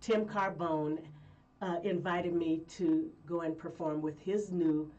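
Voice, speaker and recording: Chatty woman singer, lower voice, slightly distant. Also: takes it slowly, dull audio. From Colorado, USA. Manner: rambling, lively storytelling with frequent laughs. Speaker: guest singer. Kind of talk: casual interview chat.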